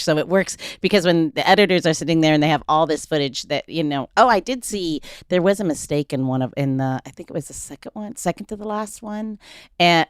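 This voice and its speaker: Lowish-voiced female podcast host, dry sound. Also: clean tone, no echo. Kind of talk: casual interview chat.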